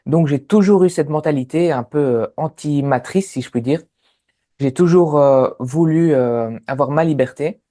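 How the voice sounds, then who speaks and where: Interview guest: Sicilian-accented man in his thirties, mellow tone, relaxed storytelling with lots of pauses. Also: on the high side. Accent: Sicilian Italian. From Sicily, Italy. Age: 30s. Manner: many hesitant fillers.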